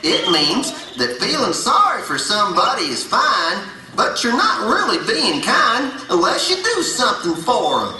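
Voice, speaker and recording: A performer voicing a patient, folksy animal puppet in a children's religious show, explaining gently with a drawl and dropped endings. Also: medium pitch, wildly swooping pitch, unhurried, few pauses, slight background noise, heavily acted. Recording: echoey hall, distant mic, music behind.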